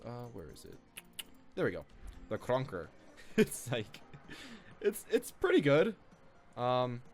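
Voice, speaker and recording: A man's voice: mid-range voice, a little mellow. A man giving casual, off-the-cuff gaming commentary as a video host, rambling and repeating his own phrases.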